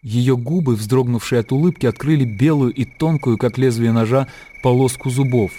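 A man's voice: mid-range voice, moderate pace, warm tone, slight background noise. A man reading scripted literary narration as the narrator of a radio drama.

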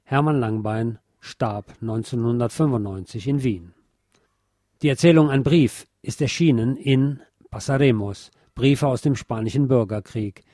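Male narrator for literary documentary reading; mid pitch, dark soft tone, dry studio sound. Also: no room sound. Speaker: narrator reading a historical letter and credits.

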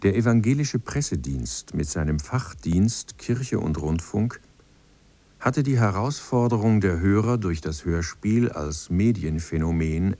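A man's voice: deep voice, warm tone. A man reading a scripted documentary narration as its narrator.

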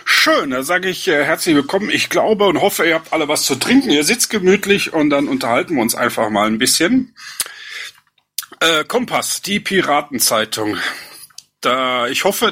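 Male podcast host, bright and husky, easygoing chat with fillers. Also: on the high side. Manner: relaxed, chatty, with fillers. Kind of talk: casual chat.

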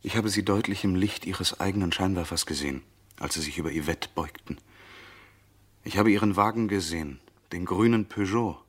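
An actor playing a calm, insinuating eyewitness in a crime radio drama, speaking in a measured, deliberate way. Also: male voice, low-pitched, breathy edge. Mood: accusing, controlled. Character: calm, insinuating male eyewitness Gachet confronting a suspect.

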